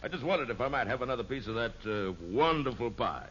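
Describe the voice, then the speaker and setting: An actor playing a polite, hesitant dinner guest in an old radio sitcom, hemming and hawing courteously. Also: male voice, medium pitch, expressive, fast, a bit bright, natural voice. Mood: hesitant politeness. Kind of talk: 1950s radio sitcom acting. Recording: muffled, noisy old radio recording.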